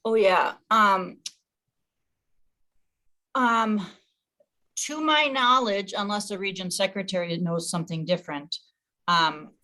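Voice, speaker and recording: A woman's voice: medium pitch, slow, long pauses, sharp tone. A woman leading a staff meeting, explaining things informally and thinking aloud in a casual, conversational way. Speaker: meeting leader.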